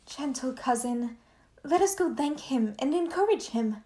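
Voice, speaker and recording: Woman as high-voiced, tender young princess. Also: lively intonation, measured pace, warm tone. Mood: earnest, kind. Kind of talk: Shakespearean radio play acting.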